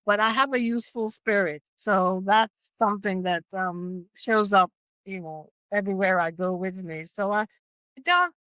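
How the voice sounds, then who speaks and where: A woman's voice: mid-range voice, measured pace, pushed and bright, smooth, dry recording, low-quality recording. An older woman, an elder and healer, speaking as an interview guest in a contemplative way, repeating phrases for emphasis. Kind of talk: reflective interview conversation.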